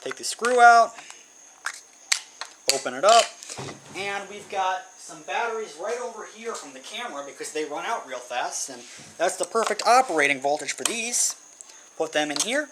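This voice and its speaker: A man's voice: high-pitched, animated, normal speed. A man giving a casual how-to demonstration, informally thinking aloud as he works. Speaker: hobbyist presenting an electronics build. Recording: noisy amateur recording with insect hum.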